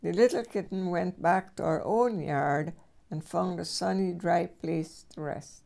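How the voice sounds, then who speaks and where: An older woman reading a children's storybook aloud as a great-grandmother, with expressive storyteller phrasing. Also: lowish voice, measured pace, creaky.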